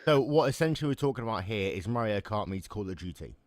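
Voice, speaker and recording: An Englishman in his twenties trades crude jokes as a podcast co-host, his voice fairly low and swinging up and down with lively pitch. The sound is dry and close, with no room around it. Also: quick pace, no echo. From England. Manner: crude, joking banter. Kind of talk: casual chat.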